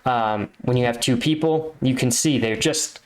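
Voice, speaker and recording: A man's voice: mid-range voice, moderate pace, rough recording. A man giving informal, explanatory reaction commentary as a military veteran, often checking for agreement as he teaches. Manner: didactic, seeks agreement with rhetorical checks.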